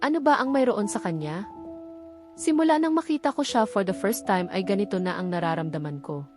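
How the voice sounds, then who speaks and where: A guarded young Filipino woman from a romance story , as a narrator reads her. She is soft and reserved, mid-pitched, with music clearly playing behind. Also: expressive, a little fast, lightly acted. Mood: wondering, confused. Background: background music.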